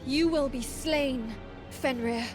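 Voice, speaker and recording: A noble valkyrie warrior in a fantasy game. She speaks in a slightly high, unhurried voice, formal and resolute, over clear background music. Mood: solemn, resolute. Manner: formal, solemn, declamatory. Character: noble warrior valkyrie, resolute, solemn, righteous young woman. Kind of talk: dramatic video game cutscene acting. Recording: music behind the voice.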